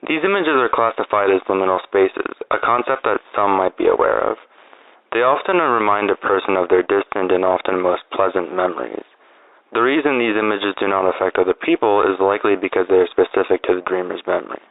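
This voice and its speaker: A man voicing a male expert who reads a grave official statement in a slow, solemn, detached way over a tinny phone-like line. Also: deep voice, vocal fry, no echo, voice pushed down.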